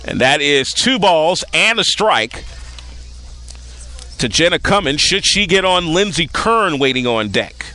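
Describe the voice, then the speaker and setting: A man calling live softball play-by-play as a radio-style sports announcer, outdoors at a ballfield. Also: fairly high pitch, slight background noise.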